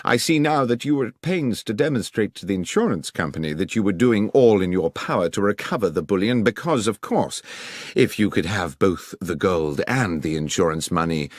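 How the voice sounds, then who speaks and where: A man performs an audiobook detective with a British accent and a lowish, very lively voice. He is coolly confident and incisive, laying out his reasoning with precise, commanding assurance. The sound is dry and intimate. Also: moderate pace, no echo. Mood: accusing. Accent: British English. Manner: precise, assured explanatory delivery.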